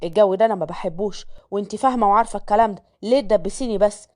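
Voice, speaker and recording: A woman's voice: mid-range voice, very fast, darkish tone, natural voice. A woman voicing a stern, short-tempered young male businessman with an Egyptian accent, speaking curtly and coldly. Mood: irritated. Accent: Egyptian Arabic. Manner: curt, menacing, clipped.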